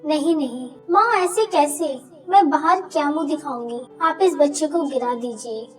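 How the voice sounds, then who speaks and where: Woman as a high-voiced young daughter-in-law, slightly distant. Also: moderate pace, over music, close to an everyday voice. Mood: shocked. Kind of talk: cartoon story dialogue.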